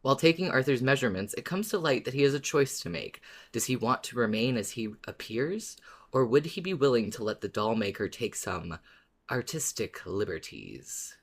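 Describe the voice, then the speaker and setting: A man's voice: mid-range voice, expressive, normal speed, gravelly. A man speaks as a podcast co-host in a mid-range voice. His delivery is conversational, suited to casual discussion and episode recaps.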